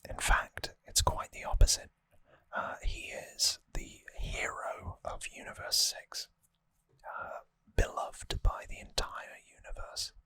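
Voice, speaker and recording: An ASMR host whispering a trivia recap gently and hesitantly, close to the microphone. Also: slow, no echo. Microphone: close microphone, dry sound.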